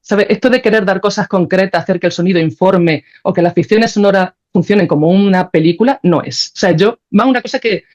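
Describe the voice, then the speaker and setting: A woman's voice: medium pitch, a little fast, hardly any pauses, darkish tone. Informal Spanish woman, slightly dull recording. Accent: Spain. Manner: informal, thinking aloud, often seeking agreement with tags. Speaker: podcast guest, composer and philosopher. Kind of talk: spontaneous, reflective interview conversation.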